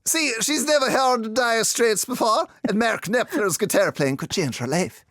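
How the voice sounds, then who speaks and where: Man playing a high-pitched, gushing schoolboy. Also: animated, normal speed, fairly bright tone, no room sound, studio quality, full character voice. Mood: eager pleading. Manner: gushing, melodramatic. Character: young Irish schoolboy, lovestruck, awkward, earnest. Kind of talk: comic audio drama acting.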